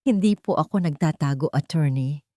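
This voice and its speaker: A woman storyteller voicing a brave young woman seeking justice, speaking firmly, clearly and earnestly in an audio drama. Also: low-pitched, normal speed, mellow, no room sound, clean studio sound, natural voice. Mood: defiant, calm.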